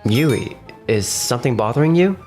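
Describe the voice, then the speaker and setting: Manga dub lead: male actor as measured, sensible young boss and narrator, mid-pitched voice over faint music. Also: expressive, a little mellow, natural voice. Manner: measured, composed. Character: level-headed, cautious young male company boss and narrator. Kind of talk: manga dub dialogue and first-person narration. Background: faint background music.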